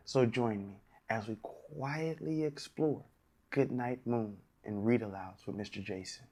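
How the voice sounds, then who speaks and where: A man's voice: medium pitch, a little slow, a little mellow. Man hosting a children's read-aloud. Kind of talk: addressing young children, hosting a story time.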